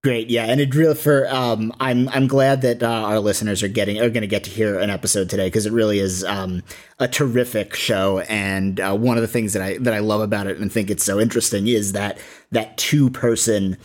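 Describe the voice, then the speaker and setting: Lower-pitched man hosting a podcast. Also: steady tone, brisk. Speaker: podcast host.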